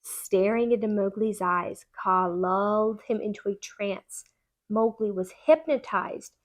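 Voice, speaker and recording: A woman narrating a children's storybook aloud in an expressive, deliberate storytelling manner. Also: medium pitch. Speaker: tutor reading aloud.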